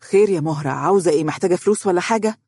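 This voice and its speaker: A woman playing a scornful, husky, selfish husband. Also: lowish voice, rapid-fire, mellow, no echo, studio quality. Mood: sluggish, offhand. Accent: Egyptian Arabic. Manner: scornful, impatient. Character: selfish, irritable, vain husband, gloating and scornful.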